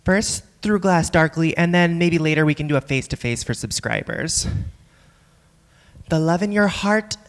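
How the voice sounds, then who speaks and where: An actress playing an earnest, melancholy, philosophizing online video reviewer in a staged monologue, measured, deadpan and musing. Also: female voice, lowish voice, lightly acted. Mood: apologetic, earnest. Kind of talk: live staged play monologue. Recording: echoey theatre hall, live stage.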